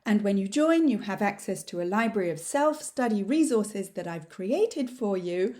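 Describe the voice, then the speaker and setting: Upbeat woman podcast host, mellow voice. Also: mid-range voice, expressive, normal speed. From Quebec. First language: French. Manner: upbeat, speaks directly to listeners. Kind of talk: podcast interview and promotional outro.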